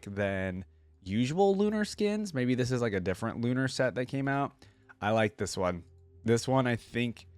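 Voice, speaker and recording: A man's voice: fairly low pitch, no room sound. A man giving casual, informal reaction commentary, thinking aloud as he goes with plenty of filler words. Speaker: reaction video host.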